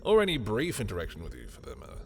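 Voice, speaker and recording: A man's voice: fairly low pitch, huge pitch swings, racing, creaky, darkish tone, soft background music, exaggerated voice. A man playing a sardonic, petty villain in a scripted audio roleplay, talking in theatrical, sarcastic taunts. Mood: dry sarcasm. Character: sardonic, petty male villain, grudgingly familiar with rival.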